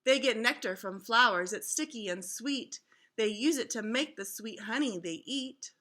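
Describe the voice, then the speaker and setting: High, bright-voiced woman reading to children. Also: normal speed. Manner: expressive, instructive to children. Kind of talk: children's storybook read-aloud.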